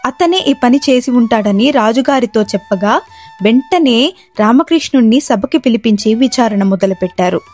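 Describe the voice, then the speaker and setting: Rather high-pitched woman narrating a story. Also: lively intonation, normal speed, darkish tone, soft background music.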